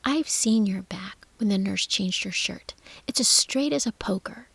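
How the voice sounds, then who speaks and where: A woman's voice: medium pitch, fairly even intonation, moderate pace, vocal fry, breathy edge, no echo, heavily acted. A woman voicing a stubborn, blunt young girl who scolds in a clipped whisper. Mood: scolding.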